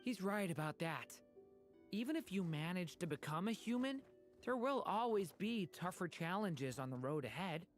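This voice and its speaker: A woman's voice: on the low side, lively intonation, normal speed, soft background music, lightly acted. A woman voicing a kind young companion in a game, reassuring and encouraging. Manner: warm and encouraging.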